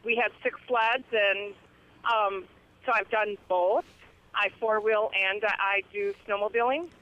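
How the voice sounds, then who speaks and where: A listener from the upper Midwest phones a radio show to share an opinion in casual speech. The voice is mid-pitched, heard through a dull, lo-fi line. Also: steady tone, slow.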